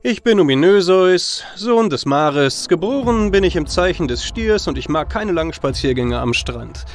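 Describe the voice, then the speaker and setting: A man's voice: fairly high pitch, animated, normal speed. A man playing a cocky, daredevil hero in a fantasy audio drama, speaking formally with flippant asides. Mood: annoyed. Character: cocky, daredevil young male fantasy hero, easily annoyed. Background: music.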